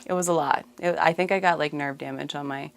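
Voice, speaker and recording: A woman's voice: low voice, steady tone, brisk, gravelly. A woman giving a casual, conversational tour of her own home as its owner. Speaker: homeowner giving a house tour. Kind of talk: casual house tour narration.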